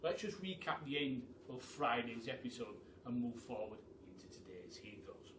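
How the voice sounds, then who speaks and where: A man's voice: mid-range voice, animated, normal speed, noisy background. A man presenting a children's storytime, chatting chummily to listeners and reading theatrically. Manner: chummy, addresses listeners as guys. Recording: echoey room, distant mic.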